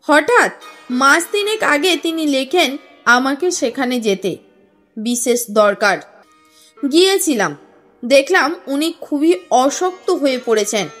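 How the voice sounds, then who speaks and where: A woman voicing an earnest, courteous businessman in an audio story, speaking in a formal, measured, explanatory way. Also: high-pitched, a bit bright. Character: earnest, courteous businessman recounting his family history. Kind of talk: audio story character reading. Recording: music under the voice.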